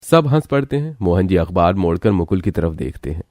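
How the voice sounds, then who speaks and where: A man's voice: low-pitched, very animated, brisk, darkish tone, no echo, studio quality. A man narrating a scripted cartoon story as its storyteller.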